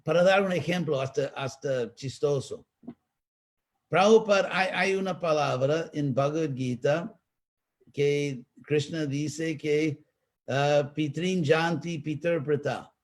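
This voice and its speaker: A man with an American accent, a native English speaker, teaching in a live online talk and answering questions, pausing to search for words. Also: fairly high pitch. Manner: slow, searching for Spanish words with pauses. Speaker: spiritual teacher.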